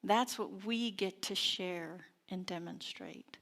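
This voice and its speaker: A woman minister giving a sermon and guided prayer in a deliberate, reverent manner with long pauses. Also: medium pitch, creaky, a bit bright. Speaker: minister leading a service. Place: church service.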